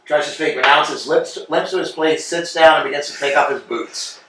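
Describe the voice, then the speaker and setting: A man reads stage directions in a plain, matter-of-fact voice set a bit high. The recording is strongly echoey, with him sitting far from the mic. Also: moderate pace, very noisy. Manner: even, matter-of-fact reading. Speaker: narrator reading stage directions. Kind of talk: staged play reading.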